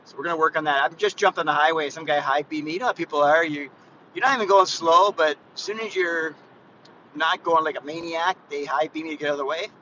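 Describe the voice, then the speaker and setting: Brief: man in his 50s, bright, higher voice, chatty rambling vlogger, dull lo-fi phone audio in a moving car with faint road noise. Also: fast. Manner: rambling, chatty, frequent restarts and "guys". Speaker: fitness vlogger. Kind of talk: casual live vlog while driving.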